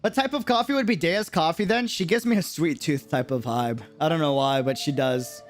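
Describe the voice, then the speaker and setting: Guy game streamer just chatting, slangy n musing, kinda high voice, faint music behind. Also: brisk. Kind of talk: casual livestream chat.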